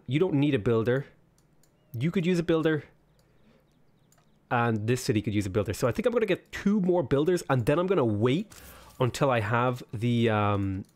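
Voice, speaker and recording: A man's voice: medium pitch, darkish tone. Sniffly man thinking aloud. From Ireland. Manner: thinking aloud, weighing choices, many ums. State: sniffly with a cold. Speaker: let's play host. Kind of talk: casual game commentary.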